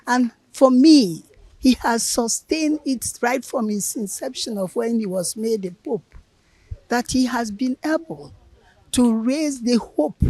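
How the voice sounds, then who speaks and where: Woman, older, Nigerian English accent, husky, slow, earnest. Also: on the high side, mellow, no echo, poor audio. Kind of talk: reflective speech.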